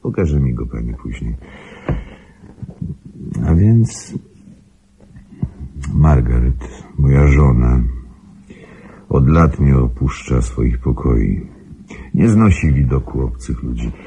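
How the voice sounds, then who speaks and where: An actor playing a grave, secretive wealthy husband in a radio drama, speaking formally with measured control in a gravelly, husky voice. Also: male voice, extremely low pitch, lively intonation, warm tone, exaggerated voice, poor audio. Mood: formal, guarded. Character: grave, secretive wealthy husband guarding his invalid wife.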